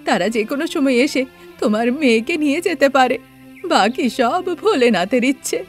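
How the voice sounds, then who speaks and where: Cartoon priest female performer: male, pious, anxious, earnest, high register. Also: animated, moderate pace, soft background music. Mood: anxious, urgent. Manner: urgent, earnest warning.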